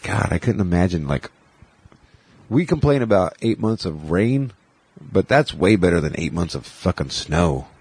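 A man's voice: sits low, very animated, moderate pace, a little mellow, husky. A man hosting a casual chat show, rambling and swearing with sarcastic asides, a bit congested after a cold. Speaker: podcast host. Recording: music playing in the background.

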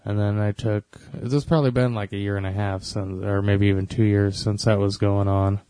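Lowish-voiced American man, dry sound. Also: little pitch movement, brisk, mellow, clean tone, no room sound, rough recording. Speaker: podcast co-host. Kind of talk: casual chat.

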